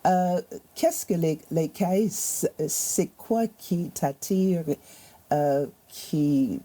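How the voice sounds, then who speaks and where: A woman hosting a conversational interview, halting as she searches for words. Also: lowish voice, animated, warm tone. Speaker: interview host.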